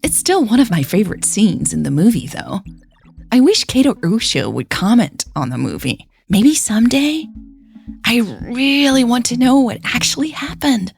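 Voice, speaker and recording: A woman's voice: on the high side, big pitch swings, normal speed, creaky, darkish tone, soft background music, pitched above the performer's own voice. Woman putting on a gossipy, lilting romance fan. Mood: wistful, gossipy. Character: gushy, gossipy romance fan on an online forum.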